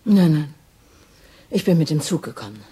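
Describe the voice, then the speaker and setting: A low-pitched female voice in radio drama. a police detective who is emotionally wounded yet resolute. Her delivery is intense and naturalistic. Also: lively intonation, normal speed, dark tone, breathy edge, exaggerated voice. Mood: agitated, hurt. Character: distraught woman police detective, hurt but resolute lover.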